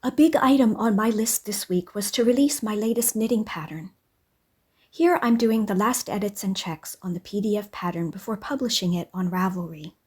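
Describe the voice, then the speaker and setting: Soft-spoken woman talking through her week, calm and unhurried, homey vlog voice. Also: medium pitch. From English-speaking country. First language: English. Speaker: vlogger. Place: home in Norway.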